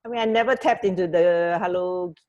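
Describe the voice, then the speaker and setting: A woman's voice: lowish voice, a little fast, darkish tone, lo-fi sound. A woman co-hosting a radio show in casual conversation, musing aloud in a slightly hesitant way. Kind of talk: casual chat.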